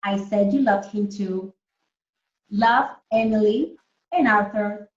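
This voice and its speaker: A woman's voice: mid-range voice, takes it slowly. A woman primary school teacher leading an online lesson for children, explaining things simply and often checking that they follow. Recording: echoey room, distant muffled mic.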